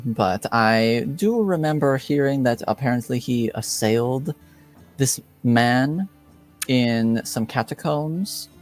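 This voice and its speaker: A man voicing a self-interested woman fixer, formal, measured and evasive, in a tabletop game. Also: medium pitch. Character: cool, guarded, self-interested woman fixer and debt collector.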